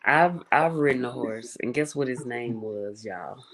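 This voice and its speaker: A woman hosting a live stream, chatting casually and telling a story with a soft voice, greeting viewers and laughing often. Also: a little slow, rough recording. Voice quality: soft-spoken, low and creaky. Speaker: livestream host.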